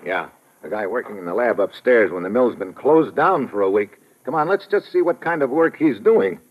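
An actor playing a brusque, hard-boiled American investigator who also narrates an old-time radio drama. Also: male voice, medium pitch, animated, normal speed, phone-quality sound, close to an everyday voice. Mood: brisk, wary. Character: tough, wry, slangy male investigator and narrator. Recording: noisy vintage radio recording with clicks.

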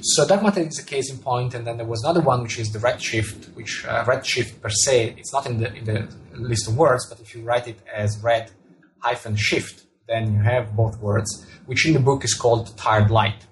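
A man's voice: medium pitch, normal speed, slight background noise, poor audio. A man with an Italian accent gives thoughtful interview answers, often repeating words as he searches for the right phrasing. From Italy. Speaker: author and astronomer being interviewed.

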